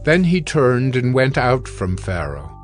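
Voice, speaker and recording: A man narrating a scripted audiobook as a measured storyteller. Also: mid-range voice, big pitch swings, soft background music.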